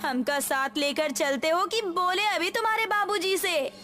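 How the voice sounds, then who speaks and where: Woman putting on a high-pitched cheeky village girl. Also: a little slow, pushed and bright, faint music behind, exaggerated voice. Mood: teasing, bossy. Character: cheeky, bossy young village woman in horror cartoon.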